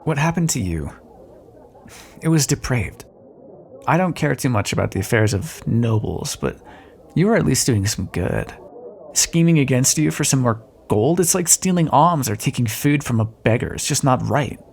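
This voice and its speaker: Casting: male actor as a sardonic fantasy rogue for audio roleplay, lively intonation, gravelly edge, noisy ambient backdrop. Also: mid-range voice, moderate pace. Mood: earnest, sympathetic. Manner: sardonic, teasing, conversational. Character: roguish male thief escorting a deposed queen, wry but decent. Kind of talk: audio roleplay acting, addressed to the listener.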